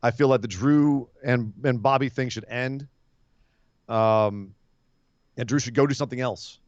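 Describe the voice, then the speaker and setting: A slightly hoarse man co-hosting a casual podcast, talking in an animated, opinionated way and laughing easily. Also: medium pitch, unhurried, dry recording. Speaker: podcast co-host. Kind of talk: casual wrestling podcast chat. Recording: muffled, dry remote podcast audio.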